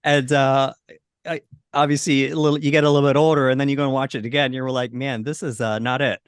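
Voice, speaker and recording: A man in his thirties or forties co-hosting a casual movie discussion, with measured, slightly hesitant phrasing. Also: mid-range voice, little pitch movement, clear, resonant tone, no room sound, studio quality. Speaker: podcast co-host.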